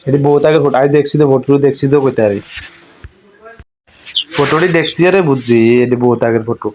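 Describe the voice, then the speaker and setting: Fast-talking man with eastern Bengali rural dialect. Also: medium pitch, darkish tone, a little hiss or hum, rough recording. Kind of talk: casual phone chat.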